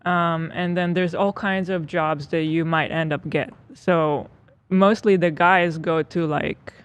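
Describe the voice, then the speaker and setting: A woman from Israel, co-hosting a podcast and calmly explaining things step by step. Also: on the low side, slow, clean tone. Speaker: podcast co-host. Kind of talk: casual conversation.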